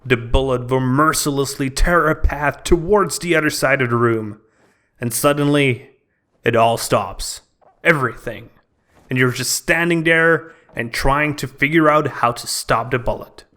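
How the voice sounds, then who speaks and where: A man's voice: mid-range voice, unhurried, pushed and bright. A man narrating a scripted video game review in a dramatic, storytelling manner.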